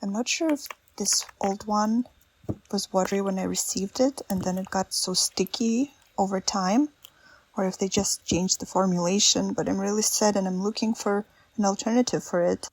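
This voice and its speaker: A woman with a Russian accent, a native Russian speaker, narrating a nail art ASMR voiceover in a soft, self-deprecating, chatty way. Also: mid-range voice, normal speed, low-quality recording.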